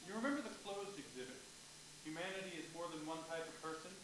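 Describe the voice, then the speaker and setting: Knowledgeable, earnest male explainer male actor, lightish pitch, stage reading in a noisy echoey hall. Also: lively intonation, fast talker, lightly acted. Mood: explaining. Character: George, knowledgeable earnest man explaining to a child. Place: indoor stage of a university theatre. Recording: distant, very echoey, noisy theatre recording.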